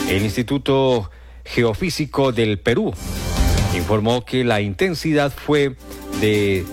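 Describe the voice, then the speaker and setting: Man reading morning radio news over music. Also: mid-range voice. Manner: reads with slips and restarts, repeats the time. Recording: music bed behind the voice.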